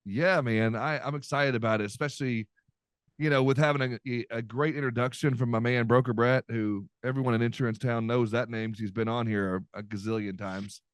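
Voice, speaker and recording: A man's voice: mid-range voice, fairly even intonation, a little fast, smooth, no room sound. A man hosting a podcast, chatting casually in an upbeat, folksy way with lots of fillers. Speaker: podcast host. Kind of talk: casual podcast chat with ad reads.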